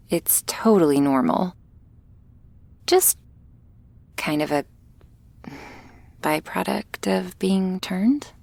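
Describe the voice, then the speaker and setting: Shy female vampire played by a woman for ASMR roleplay: very slow, bashful, halting delivery, soft breathy voice, affectionate and easily flustered. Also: fairly low pitch, no echo, heavily acted. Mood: teasing, bashful. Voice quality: soft, breathy, often whispery. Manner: bashful, halting, nervously giggly.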